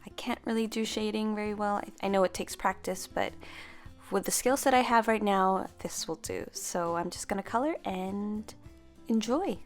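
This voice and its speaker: A woman's voice: mid-range voice, moderate pace, faint music behind. Chatty young woman narrating a craft tutorial. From half Filipino, grew up speaking Tagalog. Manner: chatty, self-correcting, friendly explaining. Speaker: planner stamping vlogger.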